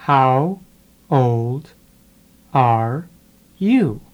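This voice leads an English lesson at a very slow pace, spacing every word apart so learners can follow. Its pitch sits in the middle range, and its delivery is careful and deliberate. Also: lively intonation, highs cut off. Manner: deliberate, pausing after each word. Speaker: English teacher. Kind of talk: slow drill of phrases, repeating word by word.

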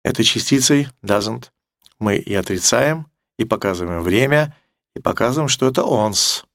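Russian guy explaining english grammar, repeats stuff, slows way down on examples, dry close sound. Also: medium pitch, expressive, a bit bright, no echo. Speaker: English teacher.

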